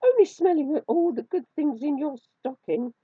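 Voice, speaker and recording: A woman voicing a timid but cheeky little male mouse in a children's storybook, with a squeaky, pleading delivery. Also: expressive, moderate pace, no echo. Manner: pleading, exclaiming. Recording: tinny, phone-like narrow band.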